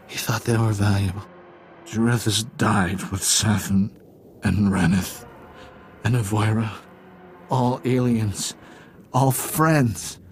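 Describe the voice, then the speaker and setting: Dazed, halting drugged slave. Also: male voice, fairly low pitch, a little hiss or hum. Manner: halting, disjointed, stammering. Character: drugged male slave, dazed, confused and compliant. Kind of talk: video game character acting.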